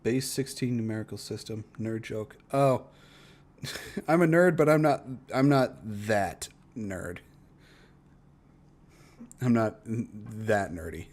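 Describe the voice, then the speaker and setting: A man's voice: on the low side, slow, pauses often, a little mellow. A man doing casual reaction commentary as a streamer, talking bluntly and swearing often. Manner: blunt, profane ranting with frequent swearing.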